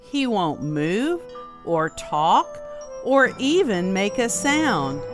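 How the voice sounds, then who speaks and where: Animated woman storyteller over music. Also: medium pitch. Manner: slow, expressive, deliberate storytelling. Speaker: storyteller reading aloud. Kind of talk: rhyming children's picture-book narration. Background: music behind the voice.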